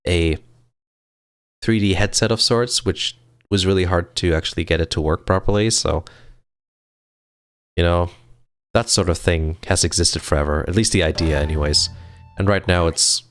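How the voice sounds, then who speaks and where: A man's voice: low voice, normal speed, long pauses, fairly bright tone. A man in his twenties chatting casually on a live game stream, reading chat aloud and chuckling now and then. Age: 20s. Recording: game music playing behind the voice.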